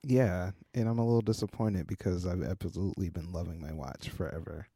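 A man with a hoarse, lower-pitched voice co-hosts a relaxed tech podcast. He talks in loose, rambling stories and laughs often. The sound is dry and close to the mic. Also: a little fast, no room sound. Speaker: podcast co-host, independent designer and developer. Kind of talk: casual chat.

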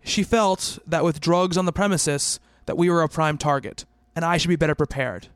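A man playing an earnest psychiatrist who narrates his own story in a radio play, in a measured, gentle, literary manner. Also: on the high side, no room sound, clean studio sound, lightly acted. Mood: measured, reflective.

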